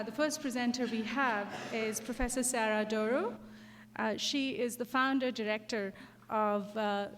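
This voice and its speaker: A woman's voice: on the high side, big-room sound. A woman facilitating a conference session, introducing the speaker with many hesitations. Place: lecture hall.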